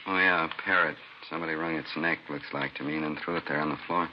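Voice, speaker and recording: A man's voice: a bass voice, fairly even intonation, quick pace. A man playing a seasoned, matter-of-fact fire chief in a 1950s radio crime drama. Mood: weary, matter-of-fact. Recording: very noisy, dull vintage radio recording.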